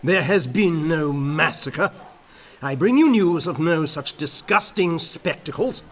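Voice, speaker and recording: An actor playing a prim, cautious, diplomatic secretary in a radio drama, formal and fussy. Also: male voice, a little high, expressive, measured pace, a bit bright. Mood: prim, disapproving.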